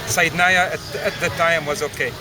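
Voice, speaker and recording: A middle-aged man with an Arabic accent giving halting, matter-of-fact testimony. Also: a little high, steady tone. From Syria. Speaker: interviewee, former prisoner. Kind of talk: personal testimony. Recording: loud crowd and street noise. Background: crowd, traffic.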